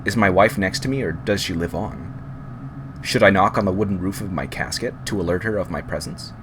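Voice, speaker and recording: A man reading a first-person scary story aloud in a measured, wry, unhurried way. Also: low-pitched, background noise. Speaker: horror story reader. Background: background music.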